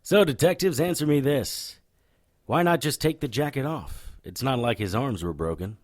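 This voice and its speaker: A man's voice: medium pitch, lively intonation, moderate pace, dry recording, studio quality, close to an everyday voice. A man playing a cocky, sardonic occult detective in a noir-flavored audio drama, showy and needling.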